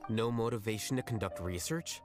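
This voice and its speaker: A man playing a cool, blunt, self-assured scholar in a video game, speaking in a measured, formal way. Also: fairly low pitch, soft background music, lightly acted. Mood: probing.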